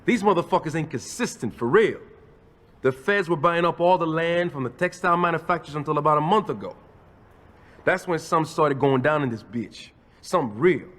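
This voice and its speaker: A streetwise male arms dealer played by an actor in a video game, rather high-voiced and swaggering. His slangy, easygoing patter rings with long reverb. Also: fairly quick, fairly bright tone, natural voice. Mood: easygoing, confiding.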